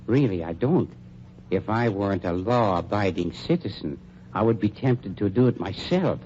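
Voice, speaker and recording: An actor playing a sly, urbane undertaker in a 1940s radio drama, speaking politely and precisely with dry irony. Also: male voice, lowish voice, animated, moderate pace, darkish tone, natural voice. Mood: sardonic. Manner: polite, dryly ironic, precise, mock-regretful. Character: urbane, sly male undertaker double-crossing gangsters. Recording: muffled, noisy 1940s radio recording.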